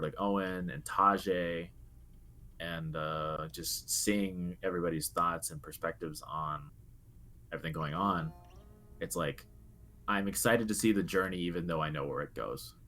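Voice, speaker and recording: A man's voice: low-pitched, fairly bright tone. A young adult man co-hosting a podcast, talking casually about video games in an earnest, reflective way. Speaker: podcast co-host. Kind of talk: casual video game discussion.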